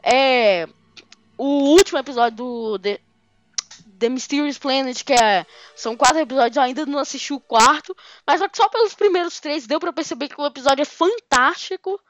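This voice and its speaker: A woman's voice: high-pitched, brisk, dry recording. A young woman from Belo Horizonte with a Mineiro accent, chatting casually and giggling as a fan podcast co-host. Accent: Mineiro Brazilian Portuguese. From Belo Horizonte, Brazil. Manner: chatty, giggly, rambling with fillers. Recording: muffled lo-fi audio with faint music.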